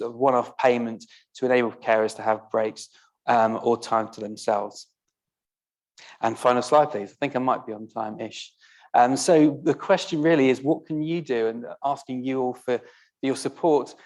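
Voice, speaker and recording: A man's voice: mid-range voice, a little mellow. A man presenting a carers support service to an audience, earnest and encouraging. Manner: earnest, with frequent fillers and restarts. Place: theatre function room, live event.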